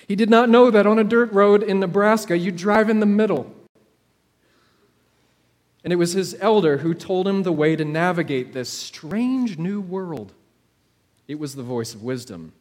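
High-voiced male preacher in a hall. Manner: measured, dramatic pauses and repeated phrases. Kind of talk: sermon with storytelling. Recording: long hall reverb.

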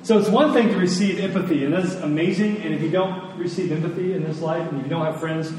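Echoey, mellow-voiced male preacher. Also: on the high side. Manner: earnest, emphatic, with deliberate repetition for weight. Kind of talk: sermon. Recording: echoey hall, distant, faint noise.

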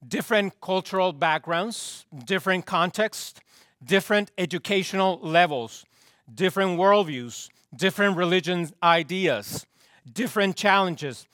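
A male pastor preaching slowly and deliberately, his voice fraying and hoarse from use. He sits a touch higher than most men and repeats his points for weight, letting each line fall away. The sound is dry and close. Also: a bit bright, dry recording. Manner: slow, emphatic, repeats key phrases, drops pitch at line ends. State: losing his voice, clearing throat. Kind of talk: sermon.

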